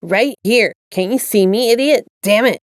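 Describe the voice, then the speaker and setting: A woman's voice: a little high, moderate pace, no echo, studio quality, natural voice. A woman voicing a grumpy, scowling man turned into a deer, snapping impatiently in a story reading. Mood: exasperated.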